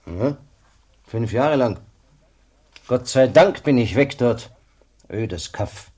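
A blunt, grumbling former policeman in a radio play; husky, middle pitch. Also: expressive, slow, mellow. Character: gruff former village policeman.

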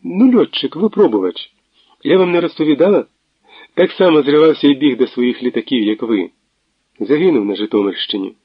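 A man's voice: mid-range voice, animated, a little fast, husky, no echo, muffled recording, pitched above the performer's own voice. A man putting on a teasing laughing young woman. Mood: mocking. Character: lively mocking young woman on the phone. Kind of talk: audiobook reading.

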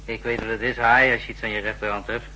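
A man's voice: on the low side, little pitch movement, fast, pushed and bright, low-quality recording. A man playing a chatty, obliging telegraph office clerk in a businesslike 1960s radio drama style. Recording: old radio recording with faint hum.

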